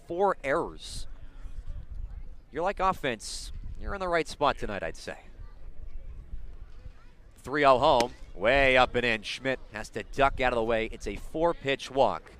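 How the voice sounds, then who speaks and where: A man calling live baseball play-by-play for radio, describing the action in detail and building excitement on big plays. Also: mid-range voice. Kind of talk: live radio sports commentary.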